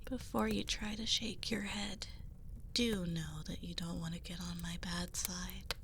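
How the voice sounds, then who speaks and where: A woman's voice: fairly low pitch, little pitch movement, unhurried, a bit bright. A woman playing a seductive, possessive witch in an ASMR audio roleplay, coy and flirtatious with a politely sinister manner. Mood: whispered menace. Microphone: close binaural 3Dio microphone. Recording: nature sounds and fire crackle behind voice. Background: nature ambience, fireplace.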